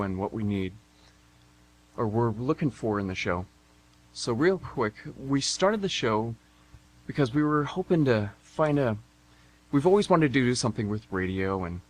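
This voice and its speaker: A man's voice: mid-range voice, low-quality recording. A man co-hosting an amateur radio theatre show, introducing it in an informal way. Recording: amateur radio, faint background hum.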